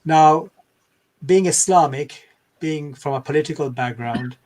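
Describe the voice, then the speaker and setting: A man's voice: on the high side, measured pace, darkish tone. A man from Bangladesh in his fifties, a scholar and podcast guest, telling his life story in a thoughtful, rambling way full of digressions. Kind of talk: reflective interview storytelling.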